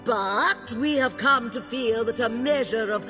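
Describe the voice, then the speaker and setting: A woman voicing a gluttonous, regal cow queen in an animated fantasy game, grandiose and pompous. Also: on the high side, measured pace, natural voice. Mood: stately. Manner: grandiose, royal "we". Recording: music behind the voice.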